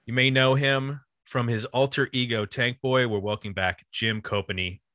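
A man's voice: mid-range voice, fairly even intonation, moderate pace, noisy background. A man with a nasal Buffalo, New York accent hosting a casual music podcast in a joking, chatty, digressive way. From US East Coast. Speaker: podcast host.

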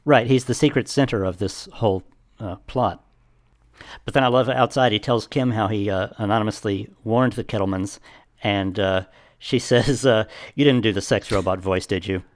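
A man co-hosting a podcast, casually retelling a story step by step in a halting way. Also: fairly low pitch, no room sound. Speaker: podcast co-host. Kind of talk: casual plot recap.

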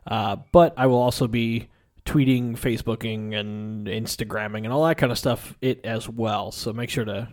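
A man with a slightly raspy voice hosting a podcast in a casual, joking way. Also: fairly low pitch, fairly even intonation, moderate pace, darkish tone. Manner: joking, teasing. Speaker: podcast host.

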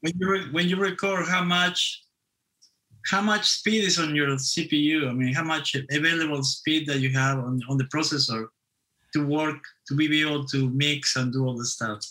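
A man's voice: fairly high pitch, steady tone, moderate pace, bright, forceful tone, low-quality recording. A man co-hosting an interview, asking eager, rambling technical questions. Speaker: co-host interviewer. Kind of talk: casual interview talk.

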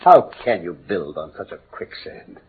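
An actor playing a brilliant, commanding English detective in classic radio drama, speaking with precise, formal, emphatic diction. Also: male voice, low voice, very animated, moderate pace, a little hiss or hum. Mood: analytical, animated. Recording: muffled old radio broadcast.

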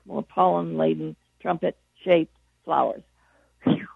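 A woman's voice: low voice, slow, creaky, husky, no room sound. A woman calling in as a gardening guest, chatting in a rambling, self-deprecating way while losing her voice. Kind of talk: casual call-in chat. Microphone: phone. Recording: tinny phone line.

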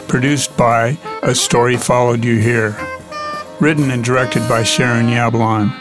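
A man serves as announcer, reading scripted credits. His mid-pitched voice stays very level, with little melody, and guitar music plays clearly behind him. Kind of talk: scripted narration.

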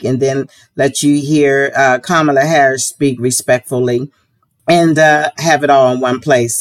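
Slightly hoarse American woman podcaster. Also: sits low. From United States. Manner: earnest, heartfelt, opinionated. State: slightly hoarse from a sore throat. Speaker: podcast host. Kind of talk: casual solo podcast talk.

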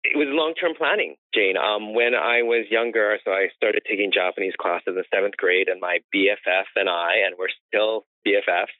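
A man's voice: fairly low pitch, moderate pace, few pauses, no room sound. Guy gabbing away in an interview, laughs a lot, dry thin phone sound. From United States. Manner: chatty storyteller who laughs often. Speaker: interior designer and guest. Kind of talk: conversational interview. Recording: tinny phone-like line, dry.

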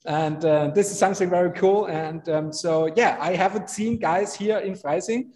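A man's voice: high voice, normal speed, darkish tone, long reverb tail. A man in his thirties from Austria, speaking with an Austrian accent, a brewer telling stories in a chatty, laughing way. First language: German. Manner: chatty, laughs often, many fillers. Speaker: brewer guest. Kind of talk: casual storytelling.

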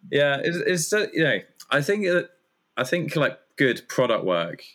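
A man's voice: a little high, very animated, unhurried, a bit bright. A man, a guest chatting casually in an interview and rambling with many fillers. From Germany. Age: 30s. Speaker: guest, community founder.

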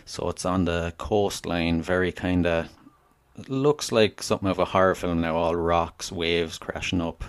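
An Irish man with a very deep voice speaks as a podcast guest. His talk is relaxed and casual, with small restarts as he tells a story. The sound is dry, with no room around it. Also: a little slow, clear, resonant tone, dry recording. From Ireland (Wexford).

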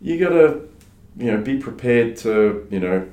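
A man who grew up in Australia with French as his first language, talking casually as an interview guest, relaxed and full of fillers and restarts. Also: mid-range voice, a little slow, muffled recording. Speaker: guest, startup advisor. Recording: roomy echo.

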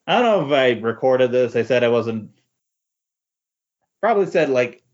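A man chatting casually as a podcast guest, halting and rambling as he corrects himself. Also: mid-range voice, big pitch swings, a little mellow, highs cut off. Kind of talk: casual chat about comic books.

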